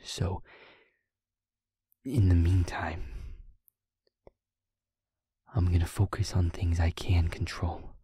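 A man playing a feisty, wounded young werewolf boyfriend in an intimate audio roleplay, breathy and husky, speaking haltingly with heavy pauses. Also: low-pitched, level tone, warm tone, no echo, clean studio sound, heavily acted. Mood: weary, subdued. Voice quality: breathy, husky, often half-whispered.

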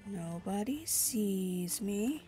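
A young woman with a stuffy, cold-blocked voice drifts through casual game commentary, stopping and restarting often. Her pitch sits mid-range for a woman, and faint game music plays underneath her. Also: expressive, extremely slow, warm tone. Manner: rambling, sleepy, many fillers. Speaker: gaming streamer. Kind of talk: casual let's-play commentary.

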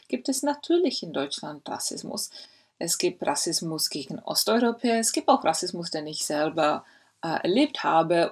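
A woman in her forties from the Republic speaks German as an in an earnest, thoughtful way. She pauses and hesitates often as she works through her points. Her voice is slightly husky, and the sound is picked up by a laptop webcam in a study at home. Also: mid-range voice, a little mellow. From Czech Republic. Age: 40s. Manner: earnest, reflective, with frequent hesitations. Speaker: historian. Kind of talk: personal video statement. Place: home study lined with bookshelves. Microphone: laptop webcam mic.

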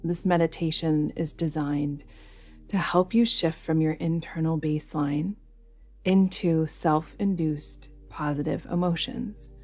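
Female meditation guide, deep register, flat soothing delivery, unhurried phrasing with pauses. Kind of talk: guided yoga nidra meditation narration. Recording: music behind the voice. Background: music.

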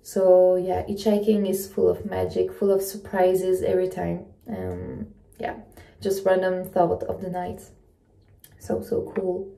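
A woman chats casually to camera, haltingly searching for words in English. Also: on the low side, little pitch movement, creaky, mellow. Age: 20s. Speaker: travel vlogger. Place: small indoor room at night. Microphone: phone propped in front of her. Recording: echoey small room.

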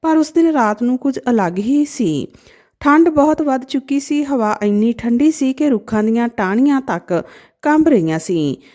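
A woman tells a sentimental moral tale as a narrator. Her voice is mellow and somewhat high, with very lively intonation and a gentle, emotive delivery. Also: moderate pace. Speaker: storyteller. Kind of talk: scripted narration of a sad moral story.

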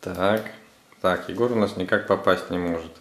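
A man's voice: low voice, steady tone, moderate pace, a bit bright. A man, a father chatting casually with children, giving instructions and prompting them with questions. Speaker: father guiding his children through a craft. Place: living room at home. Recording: roomy indoor home recording.

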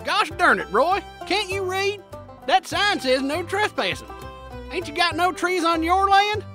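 A woman acts out an indignant Old West neighbor in an audio drama. The voice is extremely high and piercingly bright, scolding in folksy frontier talk over light music. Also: expressive, measured pace, heavily acted.

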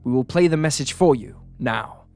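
A man narrating a true crime story from a script in a measured, serious manner. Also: medium pitch. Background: background music.

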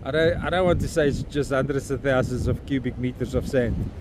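A man's voice: mid-range voice, brisk. A man in his fifties with a South African accent, walking a windy beach and talking bluntly into a phone camera, swearing as he rants. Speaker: vlogger. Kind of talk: outdoor walking vlog. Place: windy beach at an estuary mouth, outdoors. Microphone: phone camera held at arm's length. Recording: wind and outdoor noise.

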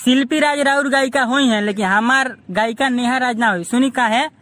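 A man's voice: extremely high pitch, fairly quick, bright, forceful tone. A man with a Bhojpuri accent arguing informally on a recorded phone call, insistently repeating himself. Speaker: singer in a phone dispute. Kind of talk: heated informal phone conversation.

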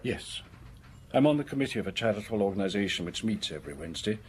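A man's voice: lowish voice, lively intonation, quick pace, breathy edge. A man acting in a radio drama as a lonely, anxious, respectable office worker with a hesitant, formal way of speaking. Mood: earnest reminiscence.

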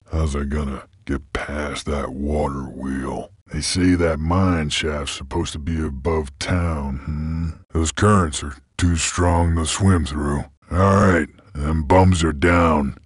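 An actor playing a gruff, laconic creature bounty hunter in a video game, with a gravelly voice and terse, folksy cowboy drawl. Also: male voice, a bass voice, dry recording, studio quality, heavily acted. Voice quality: gravelly, husky. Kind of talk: video game character voice lines.

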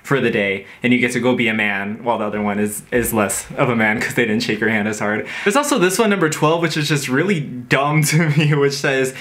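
A young man in his twenties talks straight to the camera in a casual bedroom vlog. He drags out his words and fills his talk with "like", waving his hands as he goes. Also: mid-range voice. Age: 20s. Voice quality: lighthearted, drawn-out words, says "like" constantly. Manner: animated, gestures with hands while talking. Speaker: vlogger. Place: bedroom with posters, indoors.

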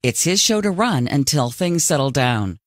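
Game role: dramatic reality-show overseer, low female voice, very animated, dry recording. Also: moderate pace, no room sound. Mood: ominous, stern. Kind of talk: scripted video game voice acting.

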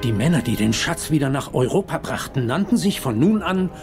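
An actor playing an old grandfather, telling treasure legends solemnly with hushed, dramatic pauses in dubbed film dialogue. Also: medium pitch, sing-song, over loud music. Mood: solemn, mysterious. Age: older.

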